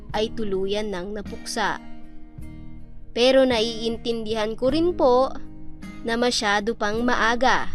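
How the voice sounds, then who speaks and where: A woman playing a young female student telling her love story in an earnest, poetic radio drama narration. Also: a little high.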